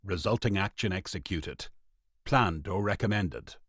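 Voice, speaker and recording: A man's voice: deep voice, no echo. A man playing a formal narrator in a radio play, reading out in a dry, official manner. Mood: official, detached. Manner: dry, official, measured wording. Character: formal male narrator reading factory reports and stage directions.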